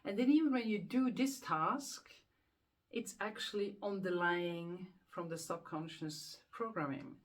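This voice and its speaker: A woman's voice: lowish voice, measured pace. Earnest Swiss-accented woman explaining. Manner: earnest, repeats words while thinking, rhetorical questions. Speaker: hypnotherapist. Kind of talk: self-help explainer to camera.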